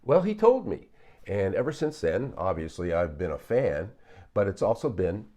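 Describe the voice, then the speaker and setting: An older man commenting on video clips as a psychologist, in a measured way with frequent pauses and fillers. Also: fairly low pitch, sing-song, warm tone.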